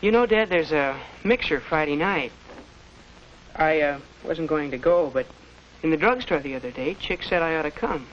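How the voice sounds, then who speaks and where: A young male actor playing a shy, earnest high school boy with an American accent, halting in a 1940s educational film. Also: expressive, a little hiss or hum, close to an everyday voice. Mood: earnest, thoughtful. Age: teenager. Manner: hesitant, halting. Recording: dull lo-fi 1940s film soundtrack.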